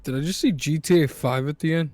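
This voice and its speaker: A man's voice: fairly high pitch, fast talker, darkish tone, dry recording. A man co-hosting a casual nerdy talk show, joking and laughing as he reads fanfiction aloud. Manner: joking, laughs often, slips into a put-on tough-guy voice.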